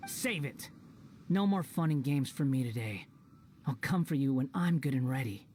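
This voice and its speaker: A woman's voice: rumbling low, animated, normal speed, darkish tone, slight background noise. A woman voicing a haughty, menacing video game villain who speaks in a dismissive, taunting manner. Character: haughty, menacing female villain, scornful and sly.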